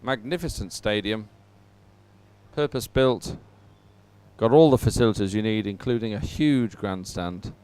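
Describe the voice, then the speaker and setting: A man's voice: lowish voice, unhurried, darkish tone, smooth. A man presenting live coverage of a sporting event to viewers, talking as a broadcast host.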